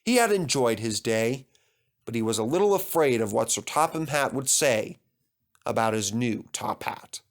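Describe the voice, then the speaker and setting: A man narrates a children's story with very animated intonation. He reads from a script as a storyteller, his pitch moving widely through each sentence. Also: normal speed.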